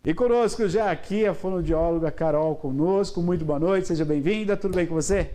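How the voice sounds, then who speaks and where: A man's voice: high voice, a little fast, over music. A man with a Brazilian Portuguese accent hosting a casual TV interview in a studio, chatty and full of "né?" and backchannel murmurs. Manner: chatty, frequent "né?" and "uhum" backchannels. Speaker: TV host.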